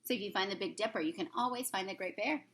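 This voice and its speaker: A woman teacher reading a rhyming picture book aloud to children in an expressive, sing-song storybook manner. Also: medium pitch, fast, a little hiss or hum.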